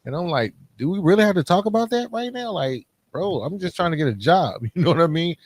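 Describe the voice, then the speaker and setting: A man's voice: a little high, expressive, a little fast, a little mellow, no room sound. A man speaking as a podcast host in a casual, candid, colloquial way, reflecting on personal experience.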